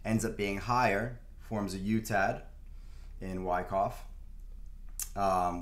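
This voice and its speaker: A young man walks through stock charts at his desk, talking loosely with plenty of fillers. His voice sits on the low side. The room around him echoes noticeably. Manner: rambling, filler-heavy, laughs to himself. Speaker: stock market video host. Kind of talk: casual chart walkthrough. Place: room at a desk, in front of a screen.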